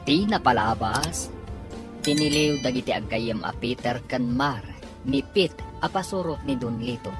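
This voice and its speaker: A male radio serial announcer with an Ilocano accent delivers scripted, dramatic narration. Music plays clearly behind his voice. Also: medium pitch, expressive, a little slow.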